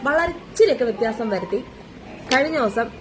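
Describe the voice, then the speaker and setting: A woman's voice: a little high, animated, brisk. A woman giving casual opinion commentary, exasperated and moralizing, asking many rhetorical questions. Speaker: commentary vlogger. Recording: noisy amateur voice recording.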